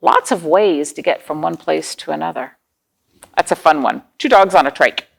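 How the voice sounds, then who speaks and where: A woman's voice: low-pitched, lively intonation, normal speed, creaky. A woman hosting a children's storytime, reading aloud, explaining things simply and asking the young listeners questions.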